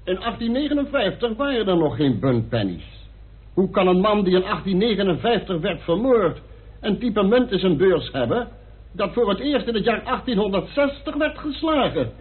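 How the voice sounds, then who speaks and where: High-voiced, animated male juror. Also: moderate pace. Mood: polite, probing. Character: polite but shrewd, persistent jury member. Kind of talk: Dutch radio play acting. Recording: muffled, band-limited old radio recording.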